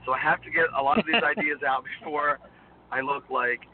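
A man in his thirties, a guest performer, chatting in a rambling way with a voice worn low from screaming. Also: steady tone, quick pace. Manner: rambling, heavy use of "like". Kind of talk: casual interview chat. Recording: tinny phone-like line, faint noise.

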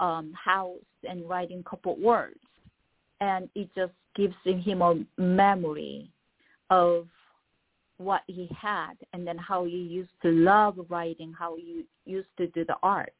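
Korean-accented woman on a phone line. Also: lowish voice. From South Korea. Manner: halting, searching for words, many fillers. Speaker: poet guest. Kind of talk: casual radio interview.

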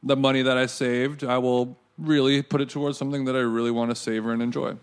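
A man with a medium-pitched voice hosts a casual interview podcast. He asks questions loosely, teases and jokes, and swears freely. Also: flat delivery, quick pace, clean tone. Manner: joking, teasing, swears casually. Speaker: podcast host.

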